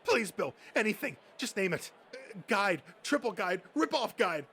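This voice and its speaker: A man's voice: sits high, sing-song, moderate pace, sharp tone, voice pushed up, heavily acted. Man acting as obsessive geek collector, desperate, pleading, melodramatic, comic dub, faint noise. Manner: pleading, dramatic.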